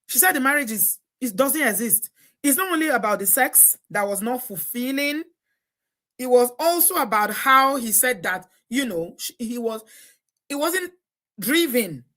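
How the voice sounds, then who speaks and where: Nigerian lady live streaming, laughs a lot, bright punchy voice. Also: a little high, lively intonation, unhurried. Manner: chatty, laughs often, exclamatory. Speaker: host. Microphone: live stream setup.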